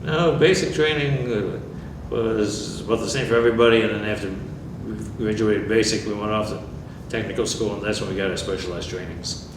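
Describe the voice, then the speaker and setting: Older male veteran, low voice, measured and dry; roomy, distant, noisy recording. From New York State. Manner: plainspoken, dry humor, measured. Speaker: veteran interviewee. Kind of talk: oral history interview. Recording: echoey room, far mic, background noise.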